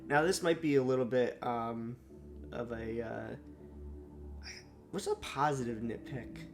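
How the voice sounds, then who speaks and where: Raspy young man rambling about a movie. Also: medium pitch, a little slow, music in the background. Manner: rambling, frequent fillers and self-corrections. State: slightly sore throat, a bit raspy. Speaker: movie reviewer. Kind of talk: unscripted first-impressions monologue.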